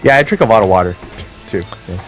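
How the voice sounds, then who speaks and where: A man with a fairly low voice chats casually as he co-hosts a game stream. He rambles along and swears freely, with faint music behind him. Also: lively intonation, fast, rough recording. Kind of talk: casual chat over gameplay.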